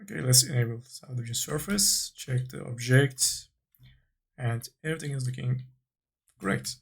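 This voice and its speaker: A man giving an unscripted software tutorial, thinking aloud in short, halting phrases as he instructs. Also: medium pitch, expressive, vocal fry, slightly rough.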